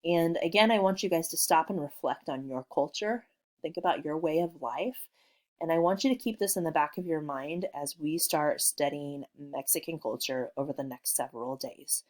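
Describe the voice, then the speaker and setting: A woman from Missouri with a notably low voice, teaching a lesson she recorded at home. She talks in a friendly, unhurried way with plenty of ums as she explains things to her students. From Missouri, USA. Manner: friendly, relaxed, with frequent ums. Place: working from home.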